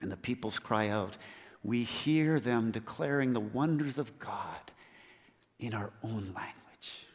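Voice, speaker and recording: A man preaching a sermon as a missionary, earnest, with measured and emphatic pauses. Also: lowish voice. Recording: long hall reverb.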